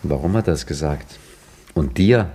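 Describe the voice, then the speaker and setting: An older actor playing an old caretaker and storyteller, dry and ironic, in a 1950s radio drama. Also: male voice, extremely low pitch, animated, moderate pace, dark tone, slightly rough, full character voice. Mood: wry teasing. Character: old male caretaker, wry wise storyteller. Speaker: caretaker telling a tale.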